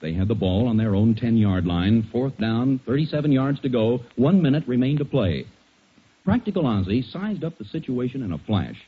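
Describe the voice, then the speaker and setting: A man's voice: medium pitch, animated, normal speed, a little hiss or hum. A man with an American accent announcing in polished, persuasive 1940s radio style, as scripted narration and advertising. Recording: muffled 1940s radio recording.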